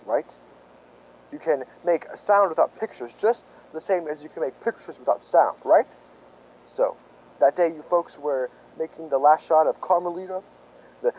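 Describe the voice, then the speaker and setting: A man acting a folksy, sly police lieutenant who toys with suspects in a halting, mock-humble way, in radio drama style. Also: on the high side, dull audio, a little hiss or hum, lightly acted.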